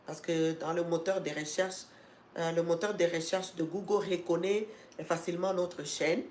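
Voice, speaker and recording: A woman's voice: on the low side, fairly even intonation, normal speed, a little mellow, a little hiss or hum. Congested woman host, live-stream talk to viewers, filler-heavy, urgent at times, echoey room. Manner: talks with many fillers and restarts, grows emphatic and urging. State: congested with a cold. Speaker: host of an educational channel.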